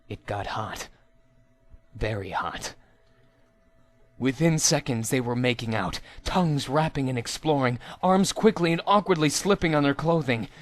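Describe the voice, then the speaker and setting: A man reading a story aloud as scripted narration, in a theatrical manner. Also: a little high. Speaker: amateur story reader.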